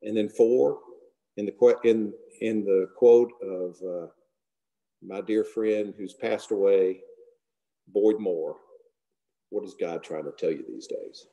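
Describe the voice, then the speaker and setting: Middle-aged male guest speaker, mellow low voice, earnest sermon-style talk, softly trailing phrases. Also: low-quality recording. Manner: earnest, unhurried, trailing off softly at line ends. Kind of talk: devotional talk and prayer. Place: large hall. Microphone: camera close below the face.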